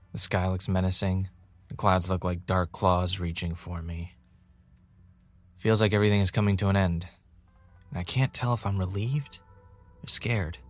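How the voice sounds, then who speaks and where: Man acting as a brooding, low-voiced podcaster. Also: close to an everyday voice. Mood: ominous, weary. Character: brooding, paranoid male podcaster searching for answers. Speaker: podcast host character and narrator. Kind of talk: audio drama monologue and dialogue.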